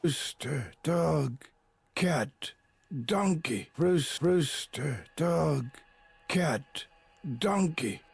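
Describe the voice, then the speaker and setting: An actor playing a frail, weary old traveling puppeteer in a game cutscene, halting and pleading, with a husky, creaky voice. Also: male voice, fairly high pitch, lively intonation, dry recording, full character voice. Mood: muttering, dazed. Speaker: street performer character. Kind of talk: video game cutscene acting.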